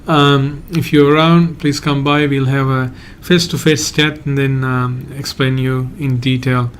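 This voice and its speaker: A man from Sri Lanka, a migration agent hosting a live Q&A and answering viewer questions in a halting, hedging way. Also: mid-range voice, level tone, slight background noise. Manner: halting, hedges often, reads out viewer questions.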